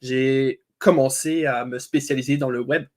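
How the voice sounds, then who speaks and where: A man's voice: medium pitch, fairly even intonation, moderate pace, a little mellow. A man speaking as a guest, introducing himself in a casual, informal, self-deprecating conversational way. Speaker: guest, web agency owner.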